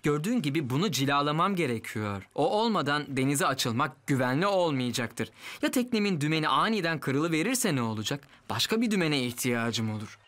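A man's voice: on the high side, big pitch swings, brisk, few pauses, a bit bright. A man voicing a careful village boatman who explains things cautiously, in a children's cartoon dub. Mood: apologetic, firm.

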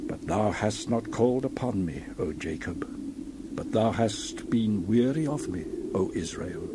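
A man giving a dramatized scripture reading in a solemn, declamatory, measured way with emphatic swells. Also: on the low side, lively intonation, background noise. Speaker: Bible reader.

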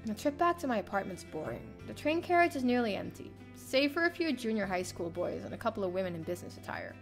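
A young woman streamer reading a visual novel aloud in an expressive, theatrical first-person narration. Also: mid-range voice, fast. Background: soft game music.

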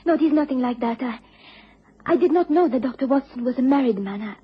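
An actress in a radio drama playing an elegant young lady with a foreign accent, husky, halting, pleading and apologetic. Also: high-pitched, expressive, exaggerated voice. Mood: anxious, apologetic. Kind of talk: BBC radio drama acting. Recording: muffled radio drama recording.

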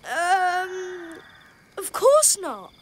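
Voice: very high-pitched, huge pitch swings, extremely slow, full character voice. An actor playing a young boy bus in a children's cartoon, boastful but secretly scared. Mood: feigned bravado. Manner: hesitant, acting tough. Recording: loud music behind.

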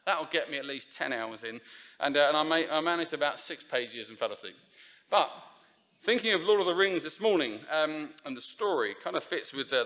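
A man's voice: a little high, pushed and bright. A man, preaching an informal, chatty sermon at a church lectern, with many fillers and restarts. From Essex, England. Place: church sanctuary. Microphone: lectern microphone.

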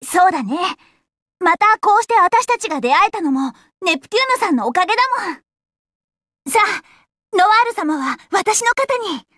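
Casting: friendly young girl adventurer for an anime game, very high-pitched, upbeat and frank, dry close studio sound. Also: big pitch swings, normal speed, sharp tone, dry recording, exaggerated voice. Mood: warm, encouraging. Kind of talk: Japanese anime game voice acting. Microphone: close, dry studio recording.

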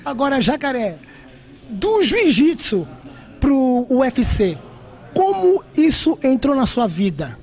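A man's voice: very high-pitched, big pitch swings, takes it slowly, darkish tone. A man hosting a sports show, upbeat and chatty, interviewing a fighter with a handheld mic in a gym. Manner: upbeat, addresses viewers as "rapaziada". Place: martial arts gym, indoors.

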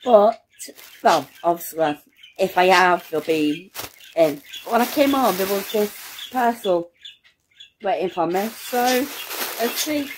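A young woman, a student, chatting casually to her phone camera at home, her voice croaky from hay fever and her talk rambling and excitable. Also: medium pitch, slow, noisy background. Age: young adult. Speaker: student vlogger. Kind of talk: casual vlog chat. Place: home, indoors. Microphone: phone camera at arm's length.

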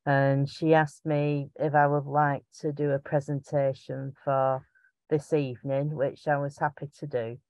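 A woman's voice: sits low, flat delivery, unhurried, a little mellow, no room sound. A woman in her fifties with a Bolton accent, chatting through her slides as a patient sharing her experience, rambling and self-deprecating. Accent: Bolton, Lancashire. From Bolton, England. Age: 50s. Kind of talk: presentation talk over slides. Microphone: video call. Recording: dull, lo-fi video call audio.

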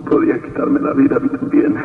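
A man's voice: quick pace, background noise, natural voice. Anguished young man played by an actor, heavy echo. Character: anguished young man grieving his lost beloved. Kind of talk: radio horror drama acting. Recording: heavy echo, muffled distant old radio.

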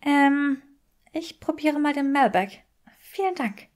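A woman's voice: high voice, expressive, slow pace, voice pushed up. A woman voicing a frank, polite young witch healer in an audiobook story.

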